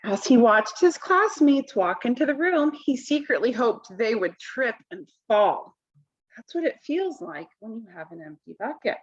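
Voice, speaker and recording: A high-voiced woman reads a picture book aloud for young children, with very lively intonation. She breaks off to explain and ask simple questions. The recording is slightly dull and lo-fi. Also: measured pace. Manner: expressive, asks simple questions of young listeners. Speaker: storybook reader.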